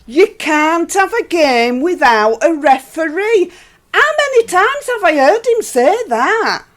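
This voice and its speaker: Actress as Yorkshire housewife, high swooping voice, slow, weary, dry grumbling, radio play. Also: female voice, big pitch swings, heavily acted. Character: weary, put-upon married northern English housewife, unimpressed.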